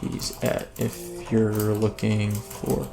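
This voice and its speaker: A man's voice: lowish voice, monotone, drawn-out words, vocal fry, noisy background. A man hosting a let's play, giving casual, rambling gameplay commentary that keeps breaking off mid-thought. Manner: rambling, self-interrupting, chatty.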